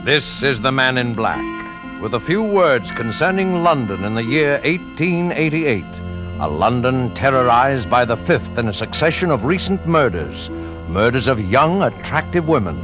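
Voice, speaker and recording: Actor as ominous radio host over music. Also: male voice, medium pitch, big pitch swings, normal speed, natural voice. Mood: ominous, solemn. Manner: dramatic, formal. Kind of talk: 1940s radio suspense narration. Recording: dull 1940s radio recording. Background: prominent music.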